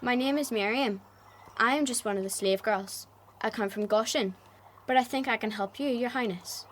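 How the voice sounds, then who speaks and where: Actress as a humble, high-voiced slave girl. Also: normal speed, a little hiss or hum, natural voice. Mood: humble, hopeful. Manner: humble, pleading. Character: polite, clever young Hebrew slave girl. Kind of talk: radio drama acting.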